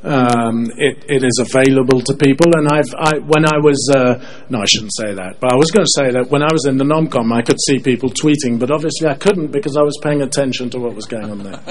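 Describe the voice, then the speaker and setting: A man's voice: medium pitch, a little fast. A man making an unscripted meeting comment, hesitant and often correcting himself. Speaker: meeting participant.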